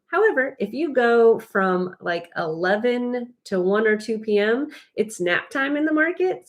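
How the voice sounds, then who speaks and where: An American woman co-hosting a live travel stream, chatting casually and explaining things in a rambling, chatty way. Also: fairly high pitch. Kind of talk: casual chat, giving travel tips.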